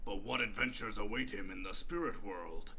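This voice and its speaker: A man's voice: on the low side, moderate pace, pushed and bright, husky, heavily acted. A man playing a dramatic announcer, narrating an anime parody in a mock-epic style. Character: dramatic male next-episode announcer.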